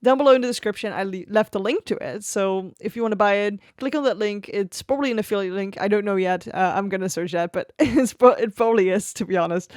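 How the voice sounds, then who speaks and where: A woman's voice: medium pitch, fast talker, hardly any pauses, no echo. A woman streamer talking casually and easygoing about her gear, laughing often, close to a studio microphone at her home desk. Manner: chatty and easygoing, with frequent laughs. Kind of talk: casual tech review talk. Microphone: close XLR studio microphone on an arm.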